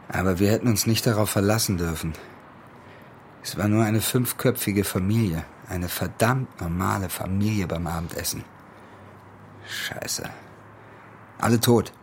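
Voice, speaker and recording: Haunted soldier for radio drama: deep, bitter, halting confession over faint ambient noise. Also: male voice. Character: haunted young soldier confessing a deadly mistake.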